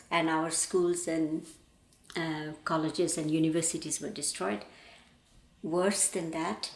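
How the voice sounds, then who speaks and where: An older woman from Bangladesh with a Bangladeshi accent, reflecting on her life as a painter in a thoughtful, halting way with pauses. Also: lowish voice. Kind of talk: reflective personal interview. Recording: roomy, echoey indoor sound.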